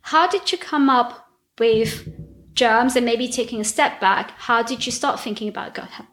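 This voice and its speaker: A woman's voice: on the high side, normal speed, a bit bright. A young woman hosting a podcast, chatting in an upbeat, casual way with frequent restarts. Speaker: podcast host.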